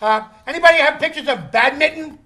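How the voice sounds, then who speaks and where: A man playing a cheery, excitable gentleman who talks haltingly, full of "uh". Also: very high-pitched.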